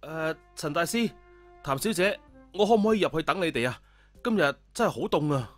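A man's voice: on the high side, expressive, a little fast, soft background music, pitched above the performer's own voice, natural voice. A man voicing a polite, awkward young male hairdresser in an audio story, deferential and hesitant. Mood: timid, hesitant.

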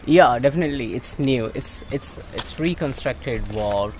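A man guiding a friend around a ruined fort, speaking haltingly with many restarts. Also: medium pitch, expressive, darkish tone, soft background music, poor audio. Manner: halting, repeats and restarts words. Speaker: local friend and guide.

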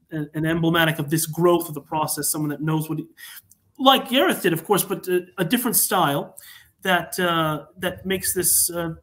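A man talking earnestly as a podcast guest on a remote call, halting and formal in his wording. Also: a little high, a bit bright. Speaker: podcast guest, audio drama creator. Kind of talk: earnest conversational talk. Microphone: remote video call.

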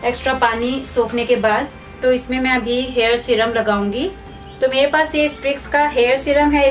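A young woman calmly walks through a hair care routine step by step, speaking like a beauty vlogger in a small room. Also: sits high, recorded from a distance. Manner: calm, instructive, steady. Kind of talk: step-by-step tutorial.

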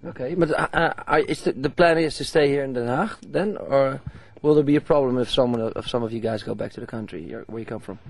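A man's voice: mid-range voice, fairly quick, vocal fry, hardly any pauses. A man interviewing a band with a handheld mic, chatty and loose, switching between Dutch and English. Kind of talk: casual interview. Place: indoor festival lounge with posters.

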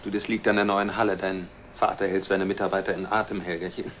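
Low-voiced placating suitor. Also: male voice, level tone, fast talker, a bit bright. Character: smooth, placating suitor in his thirties. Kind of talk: 1960s TV drama acting. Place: furnished living room set. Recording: faint hiss, old TV soundtrack.

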